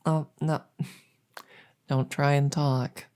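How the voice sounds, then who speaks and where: A woman playing a kindly, rambling vet in an ASMR audio roleplay, explaining things softly and hesitantly with lots of reassurance. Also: sits low, little pitch movement, drawn-out words. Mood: amused, informative. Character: kindly, rambling female vet for supernatural patients. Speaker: voice roleplay performer.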